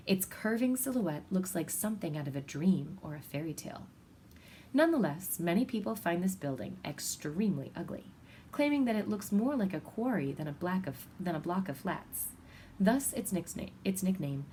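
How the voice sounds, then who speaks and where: A woman's voice: lowish voice, dark tone. Woman reading aloud, with background noise. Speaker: storybook reader. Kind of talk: children's picture-book read-aloud.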